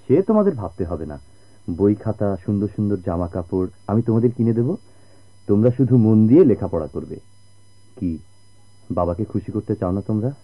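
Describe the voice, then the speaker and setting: A kind patient family friend, low voice, bangladeshi accent, earnest radio play style, muffled old recording. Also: male voice, lively intonation, fairly quick, long pauses. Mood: gentle, reassuring. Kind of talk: Bengali radio drama acting.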